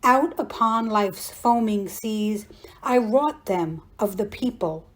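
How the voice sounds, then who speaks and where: Slow, measured woman reciting poetry. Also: medium pitch. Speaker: poetry reader.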